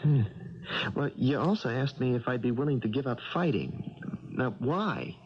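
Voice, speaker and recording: An actor playing a plainspoken, uneasy young boxer with hesitant, stammering speech in a 1970s radio drama. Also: male voice, mid-range voice, animated, moderate pace, close to an everyday voice. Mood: skeptical. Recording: muffled old radio broadcast.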